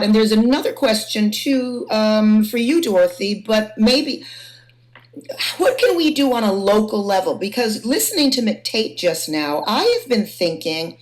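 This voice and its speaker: Middle-aged female moderator, fairly high voice with very animated intonation, informal discussion. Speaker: panel moderator.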